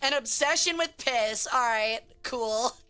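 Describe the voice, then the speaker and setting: Hoarse, chatty woman streamer. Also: on the high side, slow, sharp tone. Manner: chatty, reading chat aloud. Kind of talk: casual stream chat. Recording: faint music behind.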